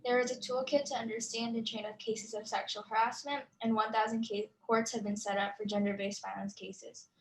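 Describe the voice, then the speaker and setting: Young woman w a slightly high voice reading her group's slides over a video call, steady and measured, trips on a word now and then. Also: poor audio. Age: young adult. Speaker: youth ambassador presenter. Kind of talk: reading a prepared presentation.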